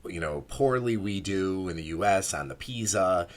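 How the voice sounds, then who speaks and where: A man hosting a podcast interview, his voice sitting on the low side. He asks his questions eagerly, tripping over himself with enthusiasm as he goes. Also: normal speed. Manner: eager, enthusiastic, a bit scattered. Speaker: podcast host.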